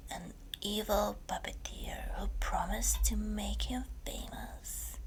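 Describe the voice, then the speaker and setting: A woman reading a fairytale as ASMR in a breathy whisper, slowly and deliberately. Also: mid-range voice, low-quality recording. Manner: slow, deliberate storytelling delivery. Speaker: ASMR creator and story reader.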